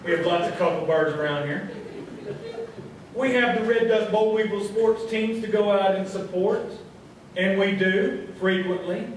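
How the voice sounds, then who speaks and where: A man's voice: high voice, a little slow. A man hosting a live small-town radio variety show in a folksy style, talking to the folks. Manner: folksy, addresses the audience as folks. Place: live show before an audience. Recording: echoey hall, distant mic, faint crowd noise.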